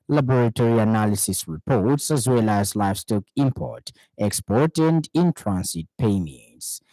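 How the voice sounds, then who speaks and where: A man with a Zambian accent reads the evening radio news in a steady, formal cadence. His voice sits a little low and sounds dark and mellow. The studio sound is close and dry, with no room around it. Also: dry recording, rough recording. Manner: measured, formal newsreader delivery. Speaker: news anchor. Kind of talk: radio news bulletin reading. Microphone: studio microphone.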